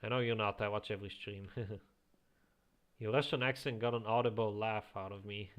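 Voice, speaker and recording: A man with a slight Eastern European accent, chatting casually as a streamer, reading chat aloud and chuckling often into a quiet home microphone. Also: on the low side, steady tone, normal speed. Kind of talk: casual live-stream chat while playing.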